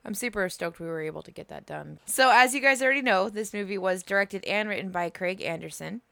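A woman's voice: mid-range voice, a little fast, vocal fry, sharp tone, no echo. A woman co-hosting a casual movie podcast, laughing often and swearing freely. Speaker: podcast co-host. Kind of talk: casual chat and movie review.

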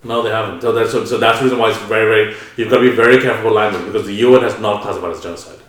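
A man's voice: fairly low pitch, steady tone, racing. A man hosting a podcast, talking candidly and questioning his own views. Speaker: podcast host. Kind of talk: podcast conversation. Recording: very echoey room.

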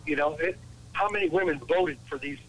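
An American man in his late sixties, calling a radio show, talking politics casually in a halting, repetitive, exasperated way over a telephone line. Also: on the high side, a bit bright. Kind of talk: casual political phone-in chat. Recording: noisy telephone call to radio.

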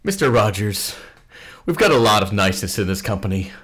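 A man's voice: lowish voice, big pitch swings, brisk, fairly bright tone, husky. A man playing an earnest character in a comedy radio play. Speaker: podcast performer.

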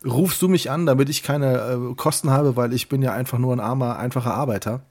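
Middle-aged male co-host, husky, rambling casual talker. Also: mid-range voice, fairly quick, darkish tone. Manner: rambling, chatty, many restarts. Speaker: podcast co-host. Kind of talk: casual phone chat.

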